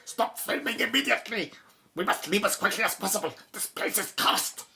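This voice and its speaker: An actor in an audio drama playing a solemn, superstitious tomb expert who speaks in careful, formal phrases. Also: male voice, sits high, fairly quick, creaky, pushed and bright, exaggerated voice. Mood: alarmed, pleading. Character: grave, superstitious male tomb expert, knowing and fearful.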